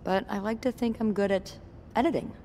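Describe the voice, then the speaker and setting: A woman's voice: mid-range voice, steady tone, brisk, dark tone, a little hiss or hum, natural voice. An actress voicing a wry, playful, self-assured young woman with a teasing manner in a naturalistic video game scene. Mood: playful, candid.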